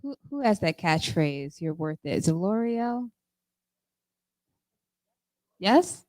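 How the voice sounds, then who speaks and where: A woman hosting a discussion, talking casually and warmly with many fillers. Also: fairly low pitch, very animated, slow pace. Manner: warm, chatty, with fillers. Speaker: host of the discussion. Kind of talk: casual chat.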